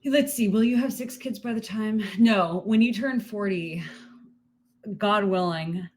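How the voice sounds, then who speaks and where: Giggly late-thirties woman, podcast co-host, casual and effusive, mid pitch. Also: measured pace. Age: 30s. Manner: giggly, gushing, lively with frequent laughs. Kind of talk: casual chat.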